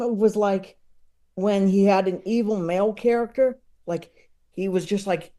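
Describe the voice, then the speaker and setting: A man with a soft-edged, mellow voice talks casually as an interview guest. He goes slowly and haltingly, wandering through his thoughts in a rambling way. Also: high voice. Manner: halting, rambling, with chuckling asides. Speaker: podcast guest, comedy enthusiast.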